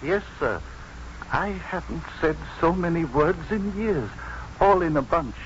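A simple, earnest servant in a high voice. He tells things haltingly and humbly, in the manner of fifties radio drama. The recording is muffled, with faint noise. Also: expressive. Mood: humble, pitying. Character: meek, dull-witted, soft-hearted middle-aged manservant, once hanged. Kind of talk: 1950s radio drama acting. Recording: dull, noisy 1950s radio recording.

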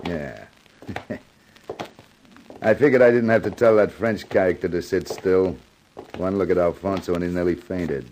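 Brief: low-pitched crime boss, cool, slangy and menacing, old radio thriller recording with faint noise. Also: male voice, moderate pace, pauses often, darkish tone, rough recording. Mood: threatening. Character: menacing, sardonic American gangster with a gun. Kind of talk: 1950s hardboiled radio drama acting.

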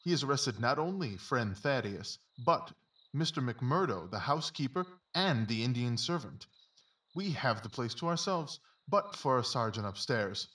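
A man playing a brisk, commanding, observant gentleman detective in an audio drama, with clipped, formal, instructive phrasing. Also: medium pitch, big pitch swings. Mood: wry. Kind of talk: audio drama acting of Victorian story.

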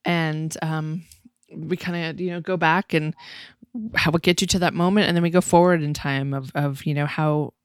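A woman from Massachusetts hosts a podcast in a loose, rambling way, laughing often and swearing casually. Her voice sits a little low. The sound is dry and close, with no room echo. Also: fairly even intonation, moderate pace, clean tone. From Massachusetts, USA. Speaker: podcast host.

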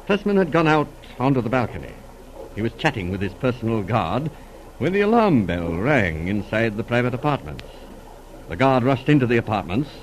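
An actor playing an earnest, courteous doctor in a 1940s radio drama, speaking in a measured, slightly hesitant way. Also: male voice, mid-range voice, natural voice. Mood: explanatory. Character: earnest, courteous male physician confiding a mystery. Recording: noisy old radio recording.

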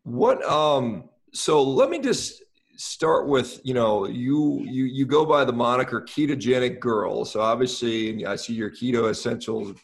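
A man co-hosting a podcast, asking questions in a casual, halting way and often repeating words as he goes. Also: medium pitch, creaky, breathy edge. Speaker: podcast co-host and interviewer. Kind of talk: casual interview over a call.

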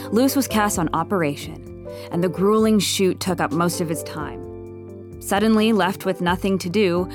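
Female narrator, scripted storytelling, mid-range pitch, prominent music behind.

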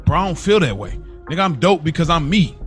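A man's voice: a little high, very animated, fast, a bit bright, faint music behind. A man co-hosting a casual podcast, talking emphatically and repeating phrases to drive his point home. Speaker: podcast co-host.

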